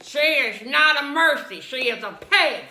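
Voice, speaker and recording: A woman voicing a stern, indignant older woman who scolds loudly. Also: high voice, very animated, a little slow, voice pushed up, exaggerated voice. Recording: roomy echo.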